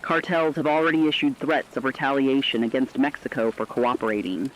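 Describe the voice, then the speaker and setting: A man's voice: medium pitch, steady tone, fairly quick, fairly bright tone, slight background noise, lightly acted, poor audio. A man playing a serious radio correspondent, giving a scripted emergency war report with formal, emphatic, clipped phrasing. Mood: serious, urgent.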